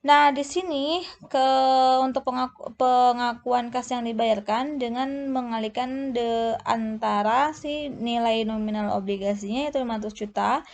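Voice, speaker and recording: A woman teaching a lesson as a tutor, explaining step by step in a halting way and often correcting herself. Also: fairly high pitch. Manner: halting, often searches for words and self-corrects. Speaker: accounting tutor. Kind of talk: explanatory lesson walking through tables.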